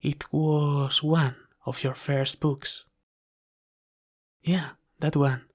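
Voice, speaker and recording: A man playing a polite, caring dragon butler in an ASMR roleplay, speaking formally, gently and deferentially. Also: fairly high pitch, flat delivery, extremely slow. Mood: earnest, apologetic. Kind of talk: ASMR roleplay, soft scripted character acting.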